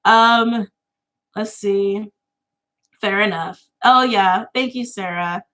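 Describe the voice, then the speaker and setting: Woman, late twenties, livestream host; relaxed chatty delivery, filler-heavy, laughs easily, mid-range pitch. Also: slow pace. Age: 20s. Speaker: tarot channel host. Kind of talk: casual livestream chat.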